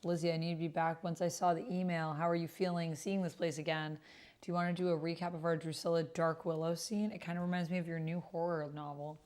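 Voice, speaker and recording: A woman's voice: lowish voice, flat delivery, fast talker, a little mellow. A woman reading chat messages aloud as she types, murmuring to herself in fragments. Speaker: solo roleplay player and video host. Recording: noisy, keyboard typing and clicks. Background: computer keyboard typing, clicks.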